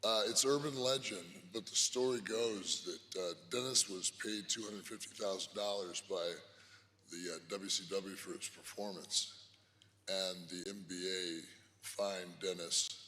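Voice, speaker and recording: Man, hoarse, lowish pitch, bright tone, echoing arena. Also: steady tone, a little slow, slight background noise. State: hoarse, lost voice. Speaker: hall of fame inductor. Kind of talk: ceremony speech. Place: large arena hall. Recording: hall reverb, faint crowd ambience.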